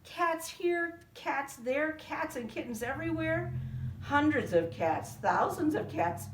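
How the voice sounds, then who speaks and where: A fussing, scolding very old woman from a picture book, as a woman reading aloud plays her. She exclaims in a lifted, lively voice. A roomy echo and some distance from the mic surround it. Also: sits high, takes it slowly, background noise, pitched above the performer's own voice.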